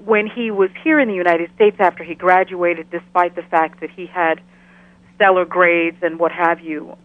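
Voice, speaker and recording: A middle-aged woman who grew up in Ghana, answering interview questions over the telephone in a measured, thoughtful way, often restarting her sentences. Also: on the low side, fairly even intonation, dry recording. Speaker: interview guest, biochemistry professor. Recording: tinny phone line, dry.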